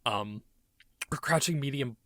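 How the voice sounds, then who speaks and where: A man's voice: mid-range voice, a little slow, fairly bright tone, no echo, studio quality. A man co-hosting a gaming podcast, talking informally and enthusiastically in rambling asides. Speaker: podcast co-host. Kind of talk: casual gaming podcast chat.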